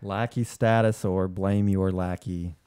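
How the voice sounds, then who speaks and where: A laconic male podcast co-host with a fairly low, relaxed voice. He gives short, halting, casual replies, recorded close and dry. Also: clear, resonant tone, no echo. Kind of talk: casual chat.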